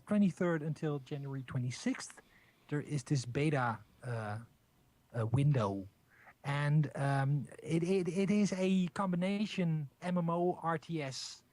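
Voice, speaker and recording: A Dutch-accented man chats through gaming news on a podcast, halting and stumbling over his words with a playful streak. His voice sits a little high for a man. The sound is dry and close, with no room around it. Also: darkish tone, dry recording. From Netherlands. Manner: halting, many restarts and fillers, playful. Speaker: podcast co-host. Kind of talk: casual gaming news chat.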